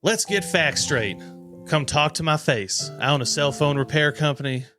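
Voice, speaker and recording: A man's voice: fairly high pitch, normal speed, over music, close to an everyday voice. Man acting out an indignant, threatening guy. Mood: indignant, defensive. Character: angry, threatening man in a dramatic reading of messages.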